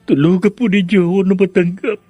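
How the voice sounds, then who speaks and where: Casting: torn, grieving son, earnest and pleading, fairly high voice over soft music. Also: male voice, fairly even intonation, a little fast, dull audio. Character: torn young man grieving his mother. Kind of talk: radio drama acting.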